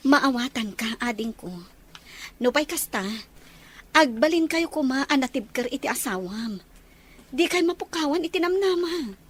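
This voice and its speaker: A woman playing a concerned, practical older relative in an Ilocano audio drama, with a husky voice. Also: sits high, expressive, moderate pace, long pauses. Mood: consoling, practical.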